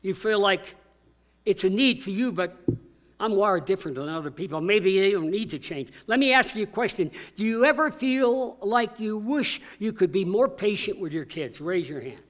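An older man preaching a sermon to a church congregation, booming and emphatic, slowing down to stress key words. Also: expressive, fairly bright tone. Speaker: pastor.

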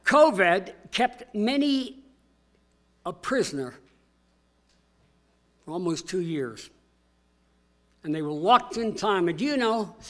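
An older man delivers a sermon in church with a booming, emphatic voice. His pitch sits noticeably high for a man, and he slows down to press home key words. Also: a bit bright. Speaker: pastor.

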